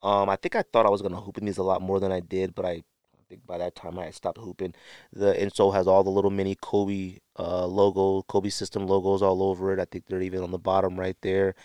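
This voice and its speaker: Low-voiced man, clear podcast talk. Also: completely flat delivery, fast talker, a little mellow, no room sound. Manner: rambling, self-correcting, unscripted. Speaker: sneaker podcast host. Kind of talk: casual show-and-tell talk to camera.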